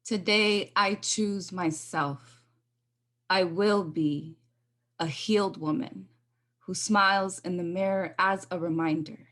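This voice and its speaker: A woman with a lowish voice reads her own poetry over a video call. Her delivery is measured and earnest, and between poems she slips into easy, casual talk. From United States. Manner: measured, earnest recitation with casual spoken asides. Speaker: poet. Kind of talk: spoken word poetry reading.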